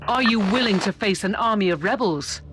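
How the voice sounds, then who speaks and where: Game role: measured, wry aide to an inquisitor female actor, mid register, some music underneath. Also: mid-range voice, close to an everyday voice. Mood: composed, informative. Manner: measured, formal, dryly wry. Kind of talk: video game cutscene dialogue.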